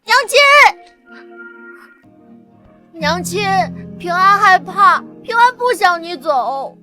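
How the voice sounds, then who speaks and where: Woman as bright, very high small boy. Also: expressive, a little slow, faint music behind, heavily acted. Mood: frightened, pleading. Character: frightened small boy clinging to his mother. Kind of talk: Chinese period drama acting.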